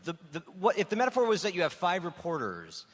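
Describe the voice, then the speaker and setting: A man's voice: high-pitched, wildly swooping pitch, fast. A male comedian on a live panel, riffing with mock indignation. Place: large hall on stage. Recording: echoey live hall.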